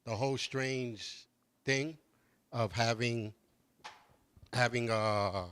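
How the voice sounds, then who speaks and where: A man's voice: mid-range voice, very slow, a bit bright, clear, resonant tone. A man from New York hosting a casual talk show, chatting loosely, laughing often and repeating words as he goes. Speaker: host. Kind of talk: casual chat. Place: studio.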